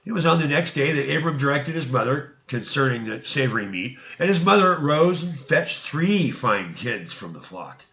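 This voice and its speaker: A man's voice: medium pitch, very animated, normal speed, creaky, few pauses, a bit bright, roomy sound. A man reading scripture aloud as theatrical narration, now and then stumbling over words, in a lo-fi recording. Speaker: reader. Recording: dull, lo-fi sound.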